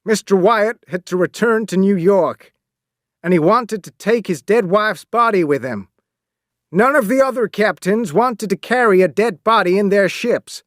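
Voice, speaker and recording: A man's voice: sits high, animated, a little slow, no echo, clean studio sound, pitched above the performer's own voice. Man voicing a bellowing ship captain. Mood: explaining. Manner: bellowing commands, then plain explaining. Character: stern male ship captain, shouting orders.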